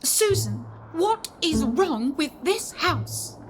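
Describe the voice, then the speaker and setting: A woman's voice: high-pitched, very animated, soft background music, exaggerated voice. A woman playing an anxious, bewildered governess in a spooky audio play. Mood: anxious, questioning. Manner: anxious, pleading, halting. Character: frightened young governess woman, earnest and bewildered.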